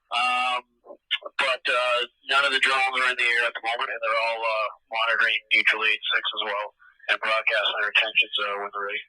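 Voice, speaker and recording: A man talking on an emergency radio channel during a search, halting and full of fillers. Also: lowish voice, monotone, sharp tone, no echo, rough recording. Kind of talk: radio dispatch chatter.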